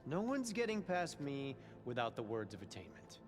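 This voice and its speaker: A man's voice: medium pitch, big pitch swings, normal speed, music in the background, lightly acted. A man playing a stern, officious guard and cult follower in a video game, stiff and unbending. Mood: suspicious. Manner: stiff, self-important, unbending. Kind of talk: video game cutscene acting.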